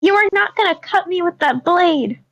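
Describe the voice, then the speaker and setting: A woman's voice: extremely high pitch, expressive, moderate pace, a bit bright, no echo, exaggerated voice. A woman playing a defiant, squeamish young witch apprentice in a screenplay table read. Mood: alarmed, defiant. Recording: muffled online meeting audio.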